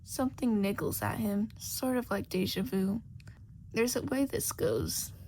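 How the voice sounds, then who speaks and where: A woman narrating fan fiction like an audiobook, expressive and at times dropping into a breathy near-whisper. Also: mid-range voice, unhurried, a little hiss or hum. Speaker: podfic narrator.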